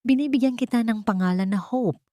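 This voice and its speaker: A woman's voice: on the high side, brisk, dark tone, dry recording, clean studio sound, voice pushed up, natural voice. A woman narrator voicing a wounded, soul-searching woman in a story, earnest and confiding. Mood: resolved, tender.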